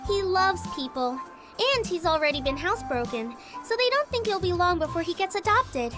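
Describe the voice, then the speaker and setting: A woman voicing a gentle, sweet and earnest young woman who volunteers with animals in a visual novel game. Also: very high voice, big pitch swings, normal speed, music in the background.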